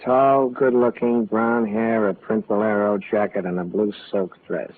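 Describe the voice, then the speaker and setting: A man's voice: fairly low pitch, steady tone, a little slow. An actor playing a slick, sarcastic man in a hurry in a 1940s radio drama, making curt demands. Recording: tinny old radio broadcast.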